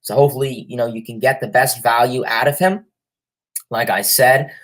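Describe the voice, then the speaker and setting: A man's voice: medium pitch, steady tone, moderate pace, fairly bright tone. Young guy with a cold doing a sports livestream, sniffly, super rambly, tons of filler words. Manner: rambling, heavy fillers and restarts. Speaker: livestream host. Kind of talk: casual sports chat reading viewer comments.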